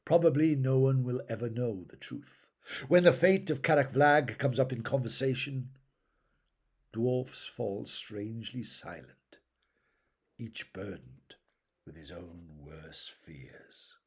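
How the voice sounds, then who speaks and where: Slow, husky male fantasy storyteller. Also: medium pitch, darkish tone. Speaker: narrator reading fantasy fiction aloud. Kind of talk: dramatic scripted storytelling narration.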